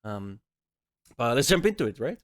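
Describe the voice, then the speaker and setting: A man's voice: medium pitch, big pitch swings, normal speed, no echo. A man hosting a reaction video, talking in a casual, blunt and joking way and laughing often.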